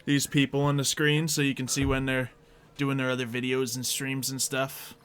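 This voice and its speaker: This is a man acting as game master and stream host. He talks casually in a middling pitch and jokes easily. There is a faint noise on his microphone. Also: monotone, normal speed, fairly bright tone. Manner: jokey, laughs often. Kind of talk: casual chat wrapping up a tabletop game stream.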